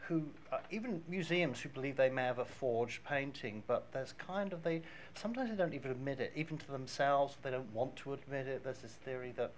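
A man in his fifties talking casually and haltingly as a panelist into a handheld microphone. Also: medium pitch, slight background noise. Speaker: panelist, marketer. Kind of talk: casual live panel discussion. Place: small dim event venue stage.

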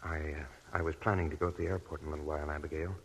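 An actor playing a steady young army soldier, firm and earnest, in 1940s radio acting. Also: male voice, rumbling low, very fast, slightly rough, full character voice. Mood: tender. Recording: old 1940s radio broadcast with music.

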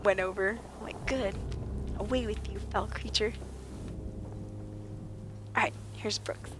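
A woman streaming a game, slightly raspy and under the weather, chatting playfully with viewers and joking in mock outrage. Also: medium pitch, fairly even intonation, measured pace, noisy background. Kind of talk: casual gaming chat with viewers.

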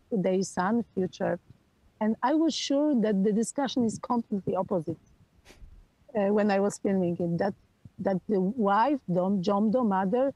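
A woman in her forties from Poland with a Polish accent, a film director talking over a video call from outdoors with an earphone mic, pausing often to search for words. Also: medium pitch, dark tone, dry recording, muffled recording. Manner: halting, searching for words with many fillers. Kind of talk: remote interview. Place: outdoors on a hillside.

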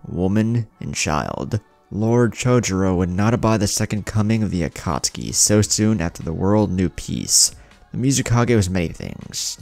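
A man narrates a dramatic scripted story. His voice is very low and gravelly with lively swings in pitch. Music plays faintly behind him.

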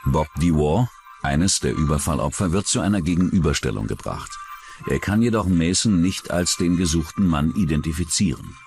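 A man's voice: extremely low pitch, animated, normal speed, creaky, darkish tone, soft background music. A man reading scripted narration for a true-crime documentary.

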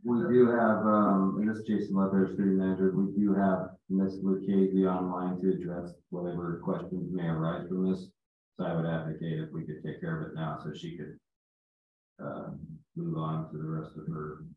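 A man with a low voice gives a measured, diplomatic explanation as a city manager. His delivery is flat, and the sound is thin and phone-like with heavy echo. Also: a little hiss or hum. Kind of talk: formal explanation at a public meeting.

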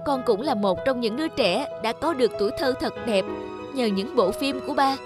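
An actor playing an earnest young animator son who longs for approval, speaking emotionally in an animated drama. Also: male voice, very high-pitched, moderate pace. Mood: moved, proud. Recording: background music under the voice.

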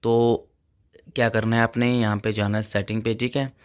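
A man's voice: medium pitch, flat delivery, moderate pace, smooth, no room sound, studio quality. A young man hosting a tech channel, walking through phone features step by step and leaning on the same filler phrases over and over. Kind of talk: casual phone tutorial.

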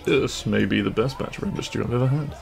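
Man playing kind-hearted bandit prince, audio roleplay, heavy vocal fry, teasing, flirty, reassuring, music behind. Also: mid-range voice, quick pace. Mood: cheerful. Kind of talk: intimate audio roleplay acting.

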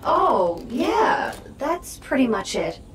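A woman playing a chatty, cheeky robot drone sidekick in a video game, expressive and breezy in delivery. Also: sits high, slow, background noise, exaggerated voice. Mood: sheepish. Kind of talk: video game character acting. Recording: echoey, distant, over loud music.

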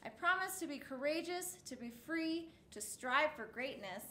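A woman in her thirties reading a picture book aloud for children's storytime, phrase by deliberate phrase, in a roomy indoor space. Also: high voice, expressive, bright, forceful tone. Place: indoor set with backdrop, seated. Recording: roomy echo, faint background noise.

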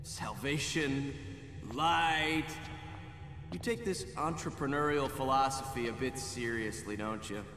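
A man's voice: medium pitch, a little slow, bright, forceful tone. An actor playing a cocky, sarcastic young male journalist in a video game, brash and defiant. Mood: sarcastic, taunting. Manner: sardonic, taunting, brash. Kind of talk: dramatic video game acting. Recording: echoey hall, long reverb, faint music.

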